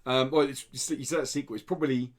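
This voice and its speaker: A male podcast co-host with a medium-pitched voice talks casually about video games. His delivery is informal and conversational, peppered with the occasional swear word. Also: steady tone, racing.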